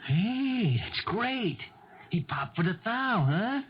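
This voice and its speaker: Excitable young hustler, swooping pitch. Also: male voice, takes it slowly, exaggerated voice. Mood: eager. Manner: slangy, eager. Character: excitable young small-time male hustler. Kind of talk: 1970s radio mystery acting. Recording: muffled, noisy old radio broadcast.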